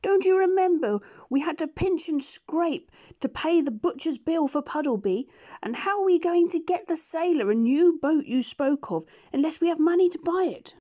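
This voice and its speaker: A fussy duck who runs the household, voiced by a woman reading aloud, scolds in a high, sharp-edged voice. She is brisk and practical, never one to let foolishness pass. The sound is plain and close. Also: lively intonation. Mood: scolding.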